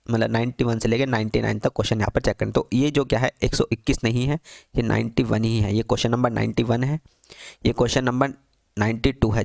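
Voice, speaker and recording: A man's voice: mid-range voice, gravelly, dry recording, clean studio sound. A man tutoring for a teaching exam, walking through an answer key on screen, asking himself questions and answering them with constant repetition.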